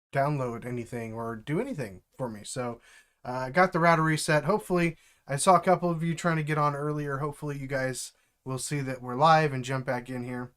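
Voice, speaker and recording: A middle-aged man, stuffed up from allergies, chatting casually on a live stream from home, halting and full of fillers. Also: medium pitch, a bit bright, clear, resonant tone. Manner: halting, with many fillers and restarts. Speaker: streamer and developer mentor.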